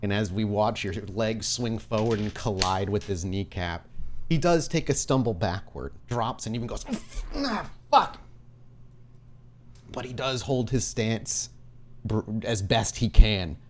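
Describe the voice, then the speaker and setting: Low-voiced man narrating, background noise. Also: moderate pace. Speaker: game master, storyteller. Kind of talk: narrating a tabletop horror roleplay.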